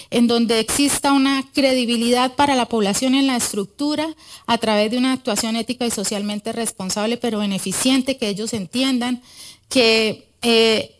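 Woman giving a conference talk, higher voice, lots of eh and self-corrections, big wood hall, podium mic. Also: a little hiss or hum. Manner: earnest, with frequent hesitations and self-corrections. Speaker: conference speaker. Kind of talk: academic lecture at a podium. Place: large wood-panelled auditorium. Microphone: podium microphone.

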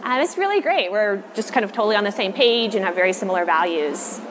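An American woman in her thirties answers interview questions casually and thoughtfully. Her pitch moves around a lot, and clear outdoor city noise sits behind her. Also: animated, fast. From Texas, United States. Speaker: interviewee, machine learning researcher. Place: outdoor covered city walkway.

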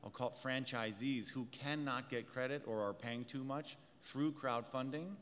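A man speaking confidently on a conference panel, pitching to the audience. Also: normal speed, a bit bright. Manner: confident, salesy, addresses the audience. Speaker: fintech executive panelist. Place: large conference hall stage. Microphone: table mic. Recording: hall reverb, faint room noise.

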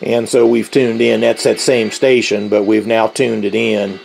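A middle-aged man giving an unscripted, explanatory demonstration as a hobbyist, speaking haltingly with a gravelly voice. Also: on the low side, little pitch movement, over music, low-quality recording. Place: indoors, at a tabletop radio. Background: shortwave radio playing faintly.

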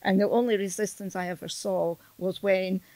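A woman's voice: medium pitch, fairly even intonation, normal speed, darkish tone, no room sound, rough recording. A middle-aged Scottish woman, a storyteller, chatting casually on a podcast and laughing between remarks. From Scotland. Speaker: storyteller guest.

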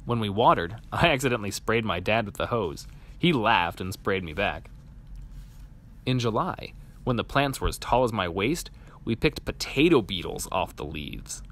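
A man reading a children's picture book aloud in an animated, expressive storytelling manner. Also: on the low side, moderate pace. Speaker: storybook reader.

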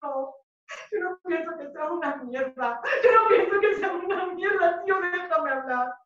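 A woman acts out an overwhelmed, apologetic girlfriend with an accent from Spain. Her high voice pleads and repeats itself defensively in a stage monologue, heard from a distance in a very reverberant space. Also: unhurried. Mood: defensive, pleading. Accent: Spanish from Spain. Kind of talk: theatrical monologue acting. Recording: very echoey room, distant muffled microphone.

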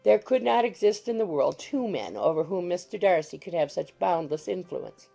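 Low, creaky woman narrator over music. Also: lively intonation, moderate pace, warm tone. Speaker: audiobook narrator. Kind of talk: scripted literary narration.

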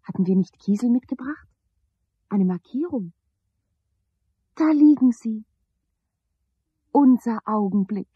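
Radio drama: tender dreaming woman, highish lilting voice, lo-fi recording. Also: lively intonation, slow pace, pauses often, husky, no room sound, exaggerated voice. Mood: musing. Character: dreamy, tender female lover recalling strange past. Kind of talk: 1970s German radio play acting. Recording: dull, lo-fi old radio recording.

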